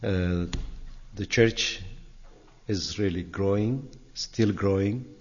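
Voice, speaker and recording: An older man from Ethiopia with an Ethiopian accent, giving an informal, halting, conversational talk as a guest church speaker. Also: lowish voice. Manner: halting, conversational, frequent "you know". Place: large indoor church sanctuary. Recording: reverberant church hall, dull sound.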